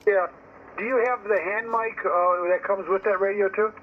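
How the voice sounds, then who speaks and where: A man's voice: sits high, fairly even intonation, moderate pace. A man in a casual, opinionated, rambling ham radio chat, heard over a narrowband radio link. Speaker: amateur radio operator. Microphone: received over ham radio. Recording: tinny narrowband radio transmission with static noise.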